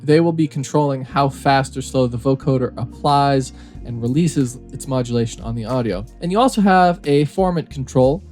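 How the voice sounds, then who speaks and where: A man narrates an audio software tutorial in a medium-pitched voice. He explains each step in a casual, instructional manner. Music plays behind his voice.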